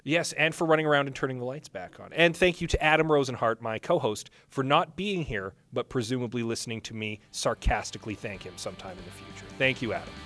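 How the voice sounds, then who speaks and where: A man's voice: medium pitch, fast talker, few pauses, fairly bright tone, soft background music. A man hosting a podcast, chatting casually as he interviews a guest at a live panel. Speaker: podcast host. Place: live panel with a small audience.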